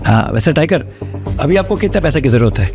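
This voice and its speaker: Man acting higher-pitched teasing manager. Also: expressive, brisk, music in the background, close to an everyday voice. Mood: teasing, obliging. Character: DK, teasing, obliging male manager. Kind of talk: Hindi audio drama acting.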